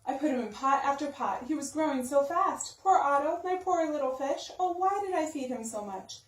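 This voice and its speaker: A woman's voice: sits high, animated, moderate pace. A woman narrating a children's storybook expressively, with simple, emphatic phrasing for young listeners. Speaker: story-time reader. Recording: roomy sound, distant microphone.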